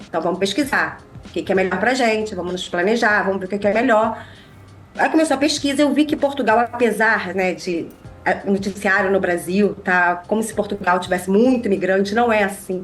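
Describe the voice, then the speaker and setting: A Brazilian woman talks casually as a podcast guest. Her medium-pitched voice is a little nasal, congested by a mild cold. Soft music is faintly audible behind her. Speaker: podcast guest, immigration lawyer. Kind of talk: casual chat.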